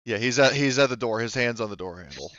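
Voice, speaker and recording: A man's voice: medium pitch, animated, quick pace, fairly bright tone, no room sound, muffled recording. A man acting as game master, casually narrating and ruling on a tabletop roleplaying game with jokey profanity.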